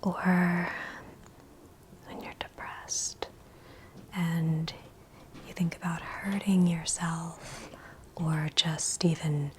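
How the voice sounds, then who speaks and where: Female ASMR meditation guide: breathy whisper, even and unhurried with long pauses, soothing, faint ambient noise. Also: fairly low pitch. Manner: gentle, soothing, with long pauses between short phrases.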